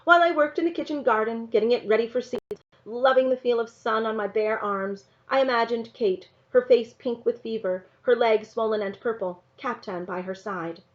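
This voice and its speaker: A woman reading a children's novel aloud in an expressive narrating style. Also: a little high, moderate pace, hardly any pauses. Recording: slightly dull, lo-fi sound.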